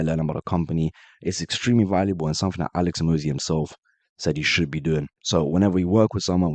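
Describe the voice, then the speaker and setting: A man with a cold walking viewers through a software automation build in a brisk, direct screen-share tutorial. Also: sits low, no echo. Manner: brisk, direct step-by-step walkthrough addressing viewers as guys. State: has a cold, voice a bit off. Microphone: voice-over on screen recording.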